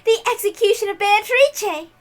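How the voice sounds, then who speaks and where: A woman's voice: very high voice, normal speed, pitched above the performer's own voice. A woman voicing a fanatically eager young servant girl, gushing and theatrical in her devotion to her mistress. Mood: eager, fervent.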